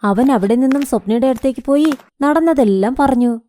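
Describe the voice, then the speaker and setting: A woman's voice: high voice, fairly quick, warm tone. A woman narrating a scripted moral story as its storyteller.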